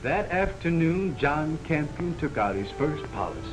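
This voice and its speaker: An earnest narrator in a 1940s picture, looking back on his father's life. Orchestral soundtrack music plays clearly beneath the voice. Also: fairly high pitch, very animated, normal speed, rough recording. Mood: reflective. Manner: earnest, respectful. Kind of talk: 1940s film narration and acting.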